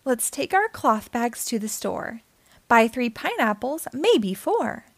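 Woman as a big insistent hippo, high-pitched. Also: big pitch swings, takes it slowly, creaky, no room sound, full character voice. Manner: loud, insistent. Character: big wide-mouthed male hippo, loud and insistent.

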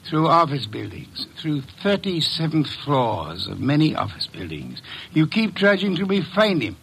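A man playing a shrewd, precise middle-aged detective in a 1940s radio drama, measured and a little pompous. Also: fairly high pitch, wildly swooping pitch, gravelly, fairly bright tone, husky, exaggerated voice, low-quality recording.

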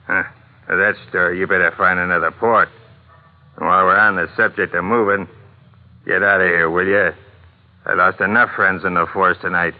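An actor playing a burly, sardonic dockside bartender in an old-time radio drama, with rough, slangy working-class speech. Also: male voice, sits low, steady tone, moderate pace, long pauses, close to an everyday voice. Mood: gruff, warning. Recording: muffled, band-limited old radio recording.

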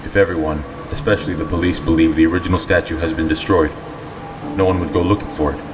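An actor playing a stern, terse, confident superhero in an audio drama. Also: male voice, deep voice, quick pace, noisy background, natural voice. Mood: calm, deductive. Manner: terse, deadpan, resolute. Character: stern, brooding male superhero, calm and confident. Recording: long reverb, music behind.

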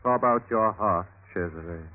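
An old, tinny radio recording of a man playing a Renaissance henchman. The voice sits slightly low. The delivery is theatrical and clipped, ominous in tone with a cool cynical edge. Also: a little slow, natural voice. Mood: coolly consoling. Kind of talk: classic radio drama acting.

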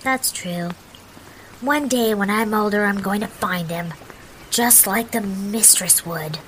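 A woman's voice: mid-range voice, takes it slowly, background noise, close to an everyday voice. A woman playing an imaginative young girl who plays adventure games with a childlike manner. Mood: wistful. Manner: playful, breathless, childlike. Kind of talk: audio drama acting.

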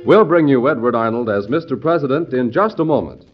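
An American man delivers scripted old-time radio narration as an announcer. His medium-pitched voice is lively and varied in melody. The recording is dull, like an aged broadcast. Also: brisk, loud music behind the voice.

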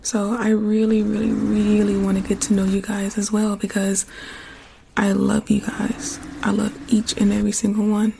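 A woman with a hoarse, gravelly voice chats casually with her live audience, reassuring them and repeating phrases. Her tone is mellow and rather dark, with a faint hiss of noise under it. She sounds close to the mic. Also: medium pitch, monotone, moderate pace. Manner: warm, reassuring, repeats herself. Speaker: ASMR livestream host. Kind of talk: casual chat to live viewers.

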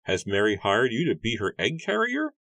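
Man doing a brusque heavyset old farmer, raised pitch, dry read. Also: wildly swooping pitch, moderate pace, a bit bright, no echo, exaggerated voice. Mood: gruff. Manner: curt, grudging. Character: gruff heavyset older male farmer, brusque.

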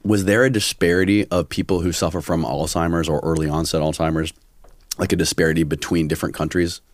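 A man's voice: sits low, steady tone, fast, dry recording. A man hosting a podcast, chatting casually and asking questions in loose, informal phrasing. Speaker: podcast host, interviewer. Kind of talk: casual chat.

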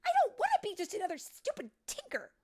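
A woman's voice: extremely high pitch, huge pitch swings, fast, voice pushed up, exaggerated voice. A woman voicing an eager, headstrong young female fairy from a storybook, emphatic and easily frustrated. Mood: frustrated, defiant. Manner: emphatic, impassioned.

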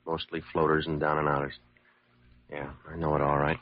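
A man's voice: rumbling low, completely flat delivery, a little fast. A man acting a cynical, world-weary police lieutenant in a classic radio drama, blunt and slangy. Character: cynical, hard-boiled male police lieutenant. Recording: muffled old radio recording.

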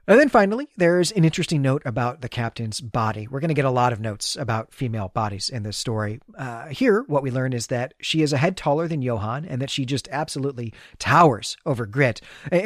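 A man's voice: mid-range voice, dry recording, clean studio sound. An American man co-hosting a literary podcast, talking casually and analytically in a wordy, anecdotal way. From United States. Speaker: podcast co-host.

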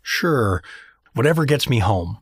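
Bewildered wisecracking everyman dad played by a man: husky, animated, sarcastic yet hesitant, very dry close sound, comedic audio fiction. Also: mid-range voice, unhurried, no echo. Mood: hesitant, agreeable.

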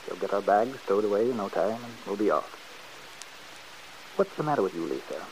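Actor as an impatient, swooping radio-soap schemer. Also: male voice, fairly low pitch, expressive, fairly quick, darkish tone, close to an everyday voice, poor audio. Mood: breezy impatience. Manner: brusque, exasperated. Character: impatient, scheming, cold-blooded man, placating and condescending. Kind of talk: old-time radio soap opera acting. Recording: hissy vintage radio broadcast recording.

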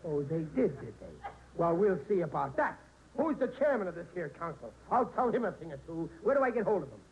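A man's voice: sits high, big pitch swings, brisk, darkish tone, lo-fi sound. An actor playing a blustery, stubborn American working-class family man in an old-time radio sitcom, indignant and ungrammatical. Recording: noisy vintage radio recording.

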